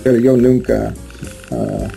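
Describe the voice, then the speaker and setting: Halting American man speaking learner Spanish. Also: medium pitch, huge pitch swings, vocal fry, warm tone, faint music behind. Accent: American English. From United States. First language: English. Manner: halting, hesitant, with many fillers and restarts. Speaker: interview guest, Spanish learner. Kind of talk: casual interview chat.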